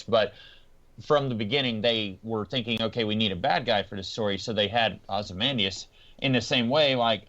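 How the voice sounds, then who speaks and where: A man's voice: medium pitch, a bit bright, muffled recording. A man in a casual panel discussion, explaining his points in a conversational way. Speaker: podcast panelist.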